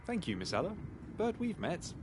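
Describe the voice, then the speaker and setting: A man voicing a gluttonous gentleman pig detective in a game, musing to himself in a savouring, deliberate way. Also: high-pitched, huge pitch swings, noisy background. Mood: musing, greedy. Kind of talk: scripted game dialogue.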